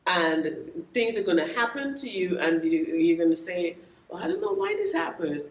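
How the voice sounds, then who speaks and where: A woman's voice: on the low side, sing-song, normal speed. A woman interviewer speaking emphatically and repeating her key points. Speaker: interviewer, organization leader. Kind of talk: conversational interview, motivational. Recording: echoey room with faint noise.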